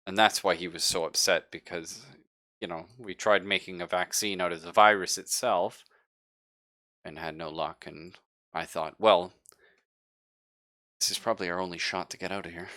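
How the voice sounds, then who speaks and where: Man playing a low, halting scientist, hesitant and evasive. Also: fairly even intonation, pushed and bright, dry recording, studio quality. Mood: defensive, halting. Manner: hesitant, halting, stammering explanations. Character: evasive, halting male scientist, recently woken from cryosleep. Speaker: role-player in a sci-fi horror game. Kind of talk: improvised in-character tabletop roleplay.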